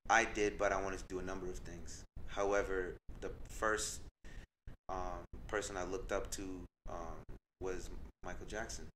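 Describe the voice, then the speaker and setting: A young man from Tanzania, a guest artist in a podcast studio, talking in a reflective, soft-spoken way with many fillers and restarts. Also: deep voice, little pitch movement, moderate pace, a little hiss or hum, poor audio. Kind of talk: casual interview conversation. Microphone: desk mic on a boom arm.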